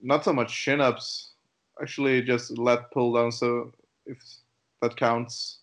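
Slow, halting man from Denmark. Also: mid-range voice, little pitch movement. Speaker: guest powerlifter. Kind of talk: casual interview chat.

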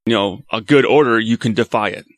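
A man's voice: lowish voice, a little fast, dry recording. Man, dry close recording. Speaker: podcast panelist. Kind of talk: casual discussion.

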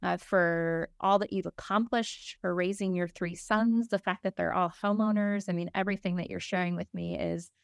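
A woman's voice: on the low side, fairly even intonation, normal speed, vocal fry, few pauses, clear, resonant tone, dry recording, studio quality. A woman hosting a podcast interview over a video call. She is appreciative and talks casually, with fillers and restarts. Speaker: podcast host.

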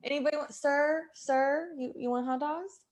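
Woman as pushy hot dog vendor, matter-of-fact, slightly high, improv comedy. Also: fairly even intonation, normal speed, close to an everyday voice. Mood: brisk, insistent.

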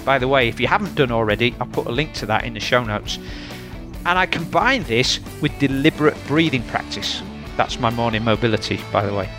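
A man's voice: mid-range voice, expressive, a little fast, music in the background. Yorkshire man hosting a podcast. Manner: friendly, chatty, with fillers. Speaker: podcast host. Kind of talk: podcast interview.

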